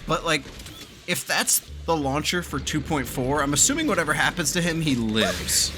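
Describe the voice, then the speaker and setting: Excitable young streamer, very animated in his pitch, game music playing underneath. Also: moderate pace. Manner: excitable, chatty, swearing. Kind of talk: casual reaction commentary.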